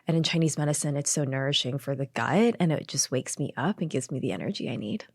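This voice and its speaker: A woman's voice: low voice, animated, quick pace, creaky, a little mellow, no room sound. A woman from Hong Kong answering questions conversationally as a podcast guest and doctor.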